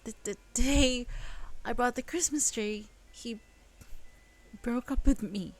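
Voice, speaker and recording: A woman voicing a heartbroken, tearful young woman who speaks in a choked, halting way. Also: a little high, voice pushed up.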